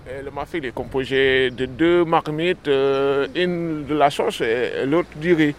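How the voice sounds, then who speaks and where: A man's voice: mid-range voice, a little slow, slight background noise. A man answering a street interview outdoors, hesitating and searching for words as he explains. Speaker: passerby.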